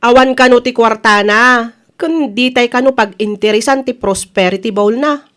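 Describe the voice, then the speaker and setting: An indignant wife with an Ilocano accent and a high voice, acted by a woman. She nags and presses about money owed to her, in comedy radio drama style. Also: normal speed, a bit bright, natural voice. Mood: annoyed. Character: indignant nagging wife chasing an unpaid debt.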